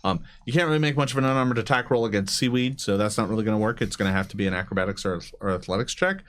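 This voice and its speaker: A man working as game master, improvising narration and explaining rules casually, with hesitant, pausing phrasing. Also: on the low side, fairly bright tone.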